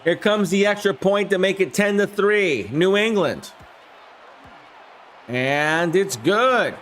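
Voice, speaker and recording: Hoarse, excitable male game-stream commentator. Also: sits high, takes it slowly, a little hiss or hum. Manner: excitable, shouts on big plays, chats with viewers between plays. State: hoarse voice, fighting the flu. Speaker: live stream host. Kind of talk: live play-by-play commentary of a video game simulation.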